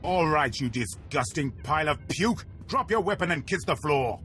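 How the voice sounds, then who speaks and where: A man's voice: a little high, animated, normal speed, a bit bright, faint music behind. An actor playing a hostile, blustering male spaceport guard who hurls insults.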